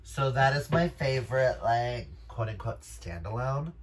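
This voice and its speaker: A man's voice: medium pitch, expressive, a little slow. A man chatting casually to viewers as a book vlogger, gushing and emphatic, with casual swearing. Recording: noisy room background.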